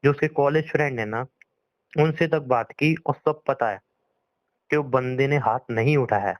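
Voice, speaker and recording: A man's voice: mid-range voice, moderate pace, no room sound, poor audio. A young man arguing in a private phone conversation, insistent and pleading, often repeating himself. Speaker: boyfriend on a phone call.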